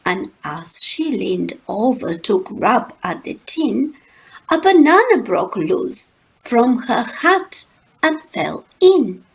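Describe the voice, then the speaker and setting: A woman reading a rhyming children's story aloud, expressively and rhythmically, with dramatic pauses and drawn-out words. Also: fairly high pitch, sing-song, gravelly, low-quality recording.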